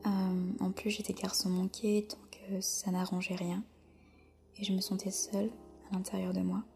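Soft, hesitant woman with a dark gravelly voice. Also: medium pitch, level tone, faint music behind. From France. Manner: soft-spoken, hesitant, many pauses. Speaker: guest. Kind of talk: podcast interview.

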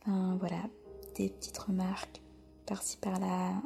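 A soft-spoken French woman, a podcast guest, answering slowly with many hesitant pauses in a whispery voice. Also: on the low side, monotone, gravelly, faint music behind. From France. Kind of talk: podcast interview.

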